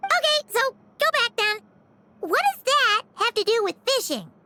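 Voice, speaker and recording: Squeaky, childlike fairy companion. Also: female voice, moderate pace, heavy background noise. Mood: puzzled, inquisitive. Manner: blunt, questioning, expressive. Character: tiny childlike fairy companion, chirpy and inquisitive. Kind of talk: video game character dialogue.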